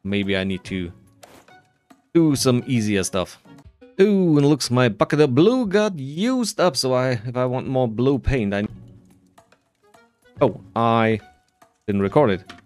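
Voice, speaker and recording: A man gives casual commentary over a video game, thinking aloud with dry self-deprecation. His pitch swings around a lot while the pace stays unhurried. The voice is clean and smooth, with light music underneath. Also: expressive, darkish tone. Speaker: gaming video creator.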